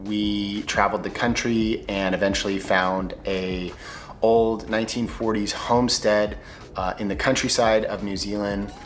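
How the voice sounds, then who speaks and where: Man, American, young adult, very deep voice, scripted vlog narration, steady. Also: unhurried, a little hiss or hum. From United States. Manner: clear, steady presenter delivery. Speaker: YouTuber.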